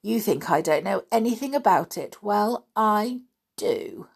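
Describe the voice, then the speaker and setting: A woman's voice: medium pitch, lively intonation, measured pace, vocal fry, breathy edge, pitched above the performer's own voice, full character voice. A woman voicing a sly, teasing younger sister in a children's story reading. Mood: sly taunting. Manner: taunting, sly.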